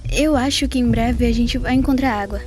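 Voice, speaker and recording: A woman's voice: on the high side, brisk, darkish tone, lightly acted. A woman with a Brazilian accent playing a serious, analytical survivor in an audio drama, matter-of-fact and explanatory. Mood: reasoning. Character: serious, analytical female survivor who knows dinosaurs. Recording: faint music behind the voice.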